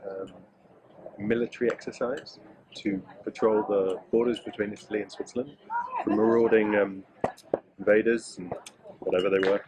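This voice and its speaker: A man's voice: on the low side, fairly even intonation, moderate pace, pauses often, a crowd in the background, low-quality recording. A middle-aged English man chatting casually in an interview, joking and hesitating with fillers. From England. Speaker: interviewee, mountain bike guide.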